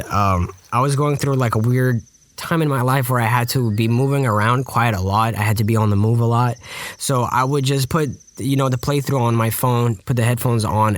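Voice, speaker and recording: A man whose first language is Russian, chatting casually on a podcast in a rambling, self-deprecating, jokey way. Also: mid-range voice. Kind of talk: casual joking podcast chat.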